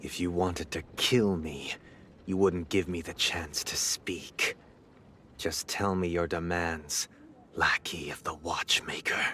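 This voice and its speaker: A man's voice: low voice, steady tone, takes it slowly, sharp tone, a little hiss or hum, exaggerated voice. A man voicing a proud, suspicious young nobleman in a video game, terse, formal and demanding. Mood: cold, wary. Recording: music behind the voice. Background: background music.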